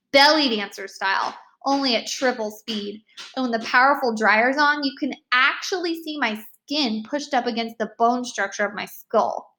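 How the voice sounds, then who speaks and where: A woman reading a children's novel aloud as an expressive first-person storyteller. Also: a little high, low-quality recording. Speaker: read-aloud narrator.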